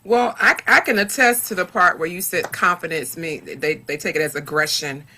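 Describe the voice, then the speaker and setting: A woman with a deep voice, speaking earnestly in a casual podcast discussion and often repeating words as she finds her point. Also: normal speed, sharp tone, slight background noise. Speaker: podcast panelist.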